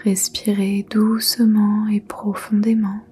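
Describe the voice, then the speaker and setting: A woman leading a guided yoga nidra meditation in a soft, breathy near-whisper, soothing and gentle. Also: medium pitch, completely flat delivery. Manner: soothing, gentle, unhurried instruction.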